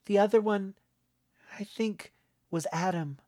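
A man narrating a story, voicing an earnest, worried young boy in a high, urgent voice. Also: measured pace, darkish tone, dry recording, studio quality. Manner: urgent, pleading boyish voice.

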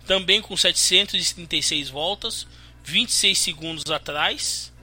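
A Brazilian man with a high, very bright voice co-hosts a racing talk show. He reels off finishing positions and lap counts, then jokes around with his co-hosts in a relaxed way. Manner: reels off race results, then banters. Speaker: race show co-host. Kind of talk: casual podcast chat.

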